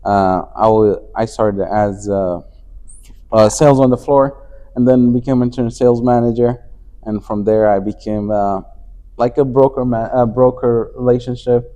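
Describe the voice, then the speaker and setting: A man's voice: medium pitch, steady tone, moderate pace, mellow. A man from Afghanistan, a company CEO, talking as a guest in a casual interview, with many fillers and restarts. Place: conference venue.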